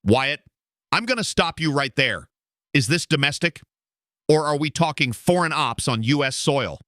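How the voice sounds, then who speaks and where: A man's voice: on the high side, lively intonation, moderate pace, fairly bright tone, no room sound, clean studio sound. A man playing a brash, showy conspiracy radio host in an audio drama, with an emphatic, folksy announcer delivery. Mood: grave, intrigued. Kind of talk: bombastic talk radio hosting in an audio drama.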